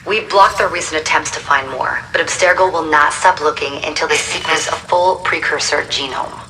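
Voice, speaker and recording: Serious lady handler actress, low voice, no-nonsense game briefing, bit far from mic with faint hiss. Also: normal speed, natural voice. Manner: matter-of-fact, urgent. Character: serious, businesslike woman briefing covert agents. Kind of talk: video game briefing monologue. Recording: distant mic, faint background noise.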